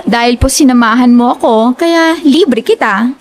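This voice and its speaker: A woman's voice: a little high, moderate pace, natural voice. A woman with a Filipino accent playing a cheerful, affectionate young office worker in an audio drama. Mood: friendly. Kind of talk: Tagalog audio drama acting.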